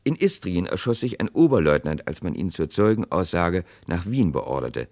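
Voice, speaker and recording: An actor narrating a 1960s radio crime play as a grave chronicler, with dramatic, deliberate delivery. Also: male voice, low-pitched, big pitch swings, a little mellow, heavily acted. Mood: grave, suspenseful. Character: grave male chronicler of a historic murder case.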